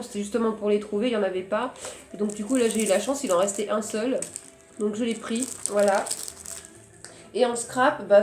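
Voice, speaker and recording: Hoarse woman, fairly high voice, chatty and hesitant, echoey room. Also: a little mellow. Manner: chatty, hesitant, searches for words. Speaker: crafting vlogger. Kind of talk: casual haul chat.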